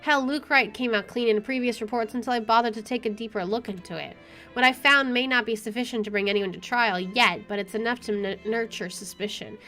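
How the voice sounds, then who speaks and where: A woman's voice: a little high, fairly quick, pushed and bright. A woman reading visual novel narration aloud with wry, dramatic expression over game music. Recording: music playing behind the voice.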